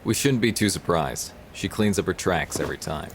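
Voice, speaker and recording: Deep-voiced, determined male detective. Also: lively intonation, normal speed, slight background noise. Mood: reassuring. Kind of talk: audio drama acting.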